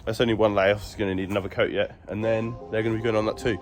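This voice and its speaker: A man's voice: fairly low pitch, level tone, rapid-fire, slight background noise. A man explaining a garden build step by step, recorded outdoors on a phone. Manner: chatty, explaining each step. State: congested, stuffy nose. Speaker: DIY vlogger. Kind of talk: casual building update. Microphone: phone camera.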